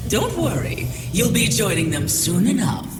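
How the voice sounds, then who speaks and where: A man's voice: high-pitched, very animated, measured pace, music in the background. A man voicing a haughty, taunting monster who turns people to stone in a video game cutscene, with theatrical delivery. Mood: gloating, menacing.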